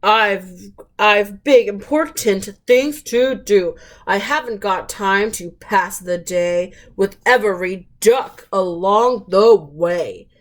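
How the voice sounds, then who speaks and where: A woman's voice: medium pitch, slow pace, natural voice. Woman as a big, self-important honking dump truck. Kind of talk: children's picture book read aloud.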